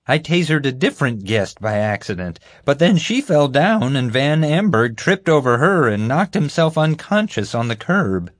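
A man voicing a bumbling, proud security guard in an audiobook, boastful, oblivious and excitable. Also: mid-range voice, normal speed, hardly any pauses, no room sound, clean studio sound, voice pushed up. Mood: defensive. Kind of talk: audiobook character voice.

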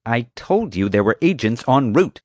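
A man acts a skeptical emergency dispatcher in a story. The delivery is clipped and official, raised in pitch with varied intonation, in a dry recording. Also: expressive, normal speed, no echo, close to an everyday voice. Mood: curt. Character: curt, skeptical, easily annoyed emergency dispatcher.

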